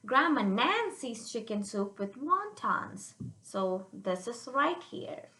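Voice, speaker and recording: A woman reading a picture book aloud to children, pausing to explain it simply and check they follow, in a roomy recording. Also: on the high side. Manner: animated, checks understanding with listeners.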